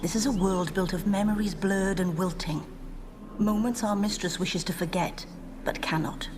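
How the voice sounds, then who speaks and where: An actress playing a solemn spirit guardian in a fantasy game, grave and formal with archaic phrasing. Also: female voice, mid-range voice, fairly even intonation, moderate pace, noisy background, lightly acted. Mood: grave, foreboding. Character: solemn female spirit guardian of a dream world. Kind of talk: dramatic video game acting.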